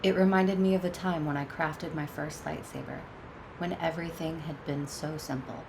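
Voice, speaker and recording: A woman playing a solemn, conflicted but resolute Jedi warrior in an audio drama, speaking in a measured, earnest way. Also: deep voice, fairly even intonation, vocal fry, noisy background. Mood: brooding, somber. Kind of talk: first-person narration and dialogue in audio drama.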